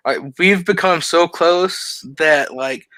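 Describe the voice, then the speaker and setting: Brief: young woman in her twenties, low and bright-toned, slow and hesitant, dry sound. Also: no room sound. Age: 20s. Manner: soft, hesitant, many fillers like "like" and "um". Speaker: band member being interviewed. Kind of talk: casual interview answers.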